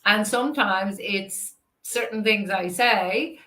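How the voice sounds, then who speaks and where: A woman's voice: medium pitch, slow, pushed and bright. A woman with an Irish accent hosting a casual interview on a video call, thinking aloud and affirming as she goes. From Ireland. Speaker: interview host. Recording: roomy, echoey sound.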